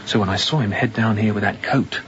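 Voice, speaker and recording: An actor playing a tense, haunted young sea captain in a radio drama, quiet-spoken and sometimes dropping to a whisper. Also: male voice, fairly low pitch, a little fast, lo-fi sound, background noise, natural voice. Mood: anxious, confiding.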